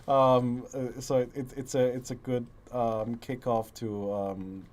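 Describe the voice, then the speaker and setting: A man hosting a casual livestream chat, talking haltingly with many fillers and restarts, his voice strained and deeper from a long illness. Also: flat delivery, darkish tone. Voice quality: deeper, rough voice. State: voice strained from a long lung infection. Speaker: livestream host.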